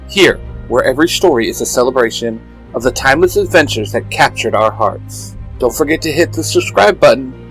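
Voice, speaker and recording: A man's voice: mid-range voice, a bit bright, poor audio. Male host intro over faint music. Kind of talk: scripted show introduction.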